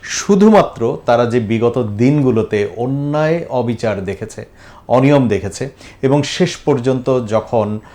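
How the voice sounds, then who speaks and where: A man with a Bangladeshi accent hosting a reflective interview, asking long questions in a deliberate way with pauses mid-sentence. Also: medium pitch, animated, dark tone. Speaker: talk show host.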